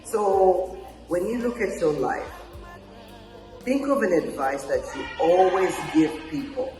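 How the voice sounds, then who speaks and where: A man's voice: high voice, lively intonation, takes it slowly, roomy sound, music in the background, rough recording. A man from Congo hosting a podcast, asking questions in a playful, prompting way. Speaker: podcast host. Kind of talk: casual interview questions.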